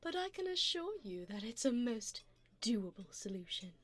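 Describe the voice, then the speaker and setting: A woman playing a wealthy, seductive vampire in an ASMR roleplay, doting yet predatory, with a poised, formal, teasing and breathy delivery. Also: a little high, big pitch swings, moderate pace. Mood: smooth, persuasive. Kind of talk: ASMR roleplay, addressing the listener.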